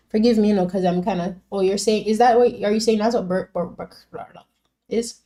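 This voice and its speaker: A young woman who grew up in Jamaica chats loosely through a live stream, reading out viewers' messages and answering them one by one. Her voice sits in a middle register with a warm, mellow tone. She talks freely, with plenty of "um"s and "like"s along the way. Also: medium pitch. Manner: chatty, answers viewers by name, frequent fillers. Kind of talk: casual live-stream chat.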